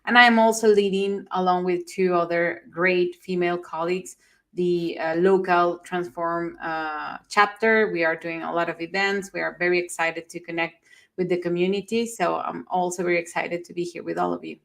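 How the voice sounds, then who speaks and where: Steady woman from Venezuela, low voice. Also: normal speed, vocal fry. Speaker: panelist. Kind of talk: self-introduction on a panel. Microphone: video call.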